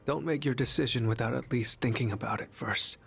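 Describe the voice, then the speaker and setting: An actor playing a gentle young harbinger of death in human form for a video game, speaking softly with grave, resigned, pleading delivery. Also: male voice, mid-range voice, fairly quick, vocal fry, slightly rough. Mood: sorrowful, pleading. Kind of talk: English video game voice acting.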